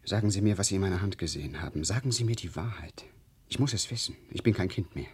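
Excitable, husky-voiced gentleman. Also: sits low, fast talker, heavily acted. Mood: insistent, impatient. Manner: insistent, dramatic. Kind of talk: German radio play acting.

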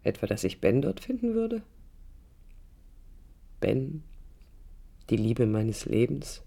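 A woman's voice: very deep voice, very animated, slow pace, gravelly, dark tone, exaggerated voice. An actress playing a restless, idealistic young woman architect, narrating reflectively in a German radio drama. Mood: wistful, resolute. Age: young adult. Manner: reflective, literary, emphatic short phrases. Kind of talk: 1980s German radio drama, introspective first-person narration.

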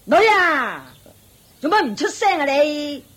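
A woman's voice: high voice, sing-song, unhurried, a bit bright, exaggerated voice. An actress playing a scornful, bossy mother-in-law in a radio drama, sneering and hectoring in Hong Kong Cantonese. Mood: nagging.

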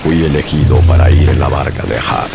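An actor narrating as a young sailor who survived a shipwreck, in grave, measured storytelling. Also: male voice, a bass voice, creaky. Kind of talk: dramatic audiobook narration. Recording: loud music bed under narration.

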